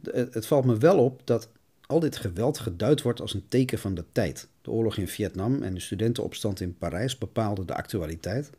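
A man's voice: on the low side, expressive, a little fast, mellow, slightly rough. A man delivering a scripted solo monologue as a podcast host.

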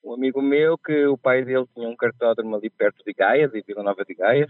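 A man from Portugal with a Portuguese accent softened by Brazilian speech, telling stories with a laugh as a podcast guest. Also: medium pitch, fast, no echo. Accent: Portuguese, softened by years in Brazil. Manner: chatty, laughs at his own mishaps. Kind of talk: casual storytelling. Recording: tinny phone line.

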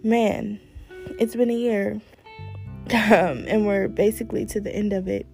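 Host: young woman, a bit hoarse, mellow tone, loose chatty delivery over soft guitar music. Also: medium pitch, measured pace. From United States. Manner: chatty, candid, lots of fillers. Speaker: podcast host. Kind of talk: casual solo talk.

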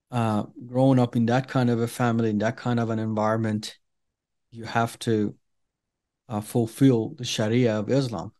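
A middle-aged man from Iran, a native Farsi speaker, giving an earnest personal testimony as an interview guest, speaking deliberately with many pauses and fillers. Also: lowish voice, dark tone.

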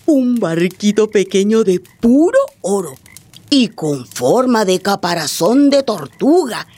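Slow, dramatic Colombian grandmother storyteller. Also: medium pitch. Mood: suspenseful. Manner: slow, suspenseful, expressive storytelling. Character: grandmother telling spooky village folk legends to children. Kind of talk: theatrical radio storytelling. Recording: music behind the voice.

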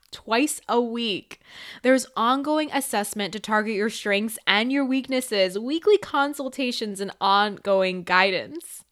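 Female podcast host, higher-pitched voice, very dry recording. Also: fairly bright tone, no room sound. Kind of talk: podcast introduction and interview.

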